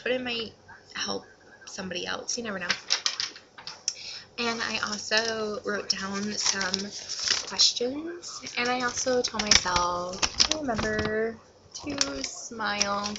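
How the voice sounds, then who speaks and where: A woman's voice: medium pitch, muffled recording, noisy background. A young adult woman giving casual advice in a vlog, talking in an informal, rambling and slightly self-conscious way. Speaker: vlogger.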